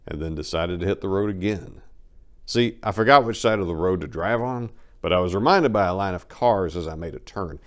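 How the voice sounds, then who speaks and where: A low-pitched male narrator delivers a first-person story as a dramatic reading. He leans hard on some phrases and lets others fall quieter, in the manner of an audiobook performance.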